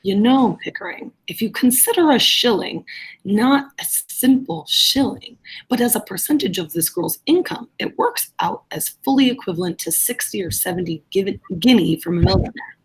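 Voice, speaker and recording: A woman playing an arrogant, brusque gentleman speech teacher in a play reading, imperious and scornful. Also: medium pitch, expressive, moderate pace, husky, low-quality recording. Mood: calculating. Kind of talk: reader's theatre play reading.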